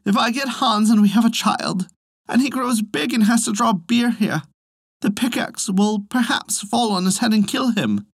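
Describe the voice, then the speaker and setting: A reader voicing a weepy, foolish young woman who wails her fears in a high, lamenting voice. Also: normal speed, gravelly, breathy edge, no echo, clean studio sound. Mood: tearful.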